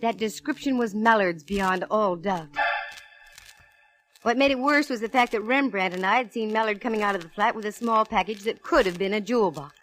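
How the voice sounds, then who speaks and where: An actress playing a cool, sassy, wisecracking private eye with wry, punchy delivery in 1940s radio detective drama. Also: female voice, medium pitch, brisk, over music, natural voice. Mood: wry narration. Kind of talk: 1940s radio detective drama, first-person narration and dialogue. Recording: faintly noisy vintage radio recording.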